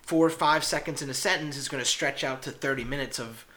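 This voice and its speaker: A man's voice: medium pitch, fast talker, pushed and bright. A man taking part in a casual podcast panel, speaking informally.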